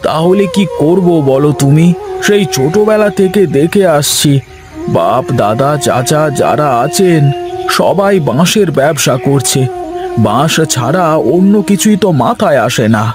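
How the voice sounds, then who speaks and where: Man voicing a high-pitched, grumbling village father. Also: animated, measured pace, music in the background. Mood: exasperated. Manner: exasperated, complaining. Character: fed-up, grumbling village bamboo seller and father. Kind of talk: Bengali cartoon moral-story dialogue.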